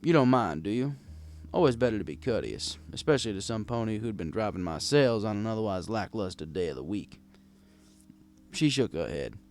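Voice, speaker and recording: A man's voice: lowish voice, sing-song, a little fast, a little mellow, slight background noise. A man narrating a scripted first-person story as a world-weary, kindly old bartender. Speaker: audiobook narrator.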